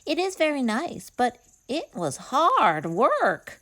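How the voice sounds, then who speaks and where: Woman doing a high, lilting, kindly storybook frog. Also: wildly swooping pitch, takes it slowly, a bit bright, heavily acted. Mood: pleased. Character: kindly, helpful, patient male frog friend. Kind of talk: children's storybook character voice.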